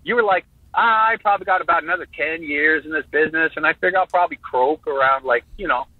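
A man in his fifties, a guest in an interview, talking in a jokey, animated way with many halting restarts over a phone line. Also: on the high side, dry recording. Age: 50s. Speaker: guest drummer. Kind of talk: casual interview talk.

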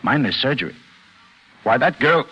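An actor playing a brusque, probing doctor questioning a suspect in a 1940s radio drama. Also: male voice, mid-range voice, expressive, moderate pace, husky. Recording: muffled vintage 1940s radio recording.

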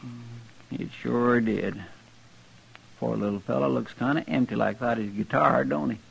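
An actor playing a simple, good-hearted sidekick to a western marshal in a 1950s radio drama, with a folksy country drawl. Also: male voice, mid-range voice, steady tone, unhurried, gravelly. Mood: earnest. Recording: dull, faintly noisy old radio recording.

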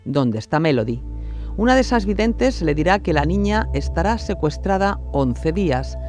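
Male narrator, high voice, scripted true-crime documentary. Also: big pitch swings, moderate pace, a little mellow, faint music behind.

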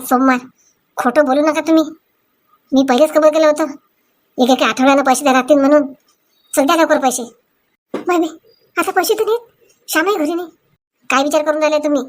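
Cartoon village scold, high-pitched, excitable, Vidarbha Marathi accent, voiced by a woman. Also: natural voice. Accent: Marathi, Vidarbha dialect. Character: excitable, quarrelsome village women in a family comedy. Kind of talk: cartoon comedy dialogue.

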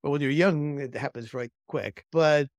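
A man's voice: mid-range voice, moderate pace, dry recording, studio quality. An older man from Cuba, a native Spanish speaker, talking reflectively as an interview guest in an emphatic way. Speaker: interview guest, former intelligence analyst. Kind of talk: conversational interview, reflective storytelling.

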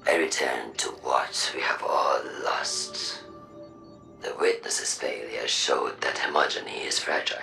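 A woman playing an imperious machine-mind villain in a video game, grandiose and coldly persuasive, in a breathy, processed voice. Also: rumbling low, sharp tone, exaggerated voice. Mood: coaxing, lofty. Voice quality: breathy, whispery, gravelly. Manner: measured, grandiose, deliberate. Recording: echoing, distant, with music behind.

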